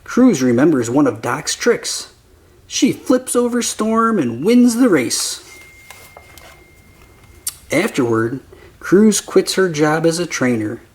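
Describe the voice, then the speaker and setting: A man reading a children's picture book aloud as narrator, with simple, deliberate phrasing for young listeners. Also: fairly high pitch, sing-song. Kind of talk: slow scripted children's story narration.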